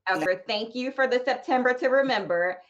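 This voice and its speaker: A woman hosting an interview show, playful and fond of wordplay, asking lively questions. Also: a little high, a little fast, dull audio. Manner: bubbly, wordplay-loving, animated. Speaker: host.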